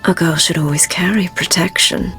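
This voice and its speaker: Sultry, posh British socialite. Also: female voice, lowish voice, fairly even intonation, moderate pace, fairly bright tone, soft background music. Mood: flirtatious, teasing. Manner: sultry, arch, playful. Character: flirtatious, posh British socialite, cool and teasing. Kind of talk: video game cutscene acting.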